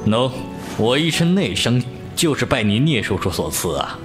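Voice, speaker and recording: An actor playing a seasoned, urbane middle-aged martial-arts master in a wuxia audio drama, speaking in a measured, avuncular, theatrical way. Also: male voice, medium pitch, big pitch swings, lightly acted. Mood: genial. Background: music.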